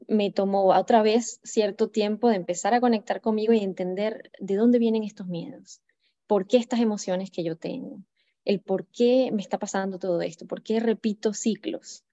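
Venezuelan woman, 30s, mellow warm tone, reflective, dull lo-fi call audio. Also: mid-range voice. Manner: reflective, many fillers and restarts. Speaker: guest. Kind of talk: personal storytelling interview.

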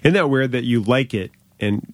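A man's voice: mid-range voice, normal speed, dry recording. A man hosting a casual podcast, asking curious questions and poking fun at himself with a loose, filler-heavy delivery. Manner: curious, self-deprecating, lots of fillers. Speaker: podcast host.

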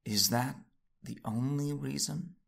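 A commanding Sith lord for an audio drama. The voice is gravelly and creaky, calm and understated, with a dry, teasing edge. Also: male voice, mid-range voice, takes it slowly. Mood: wry, composed.

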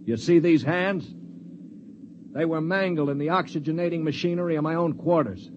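A man's voice: fairly high pitch, moderate pace, noisy background, natural voice. Man doing a level-headed, dry-witted hero narrating a vintage sci-fi radio play. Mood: calm. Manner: calm, sardonic, reasoning. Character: world-weary space traveler and narrator. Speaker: narrator and lead. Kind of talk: 1950s radio drama acting. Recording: dull old radio recording with music behind.

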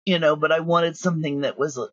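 A woman's voice: low voice, steady tone, fast talker, no echo, dull audio. A woman chatting casually as an interview guest, telling stories with plenty of asides. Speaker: guest, audio drama creator.

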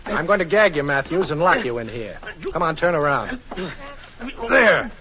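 An actor playing a cool-headed, wisecracking amateur sleuth in a flippant 1940s radio style. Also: male voice, on the high side, very animated, normal speed, crowd noise. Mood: brisk, confident. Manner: flippant, sardonic. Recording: dull, noisy 1940s radio recording.

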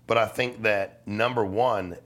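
A man's voice: fairly low pitch, fairly even intonation, a little slow, fairly bright tone. A middle-aged man talking casually and persuasively as an interview guest, blunt and emphatic, with slang and swearing. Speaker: podcast guest, life insurance salesman.

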